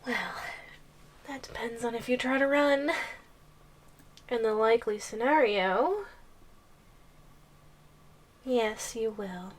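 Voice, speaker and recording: Possessive yandere giantess for ASMR roleplay, female performer: higher register, very slow delivery, playful, sweetly threatening. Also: a little hiss or hum. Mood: smug, teasing. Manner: slow, playful teasing with frequent giggles. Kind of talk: ASMR roleplay audio drama.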